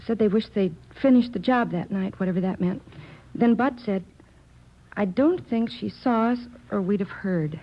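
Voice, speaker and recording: A woman's voice: medium pitch, normal speed, lightly acted. Actress as a guarded young woman, muffled old radio. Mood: anxious, confiding. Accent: American. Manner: polite, defensive, plain-spoken. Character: guarded, earnest young female office worker under questioning. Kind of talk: 1950s radio crime drama acting.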